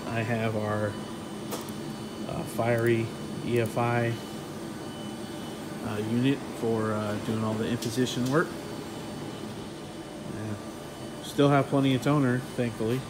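A man casually walking through his print shop and explaining its equipment in a relaxed, technical way with lots of fillers, recorded on a phone he carries. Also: mid-range voice, takes it slowly, long pauses, very noisy. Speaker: print shop owner giving a tour. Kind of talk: casual walkthrough tour. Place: print shop offices and warehouse, indoors. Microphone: handheld phone camera, filming while walking.

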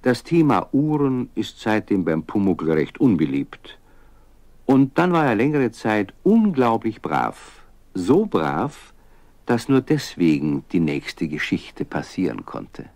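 An actor playing a friendly narrator who tells a scripted story to children. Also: male voice, lowish voice, big pitch swings, slow, a little mellow, no echo, full character voice. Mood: engaging.

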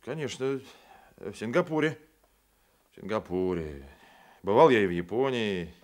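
An actor playing a boastful, sly Cossack constable in a radio play, spinning yarns in rustic colloquial speech. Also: male voice, on the low side, animated, moderate pace, close to an everyday voice. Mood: folksy boasting.

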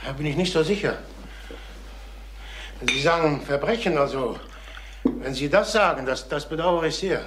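A man's voice: fairly high pitch, a bit bright, close to an everyday voice. Guy acting broken salesman in his late 50s, radio crime play, stammery and brooding, faint noise behind. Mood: defensive, flustered. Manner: halting, repeating himself, brooding. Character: distraught, desperate travelling salesman near sixty.

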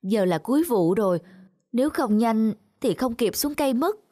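High-voiced, insistent young village wife. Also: female voice, expressive, moderate pace, warm tone. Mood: pleading. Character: indignant, stubborn young married village woman, a sister-in-law. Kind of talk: animated moral tale dialogue.

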